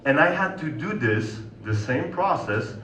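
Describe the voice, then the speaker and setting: A man's voice: on the high side, expressive, measured pace, pushed and bright. A man in his thirties with a Polish accent gives a conversational sales talk to an audience and keeps checking in with them. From Poland. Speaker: sales consultant giving a talk. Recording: echoey hall, distant mic.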